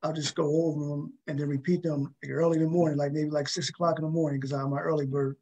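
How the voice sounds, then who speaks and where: A man hosts a conversational talk show. His voice is soft-edged and mellow, sitting a little higher than most men's. He rambles toward his questions, doubling back on words. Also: little pitch movement, fast, lo-fi sound. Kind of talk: conversational interviewing.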